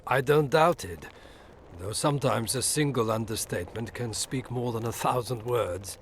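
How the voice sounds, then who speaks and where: An actor playing a suave, middle-aged imperial ambassador in a video game, formal, measured and insinuating. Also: male voice, medium pitch, a little hiss or hum, close to an everyday voice. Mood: politely probing. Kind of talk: video game dialogue acting. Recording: music behind the voice.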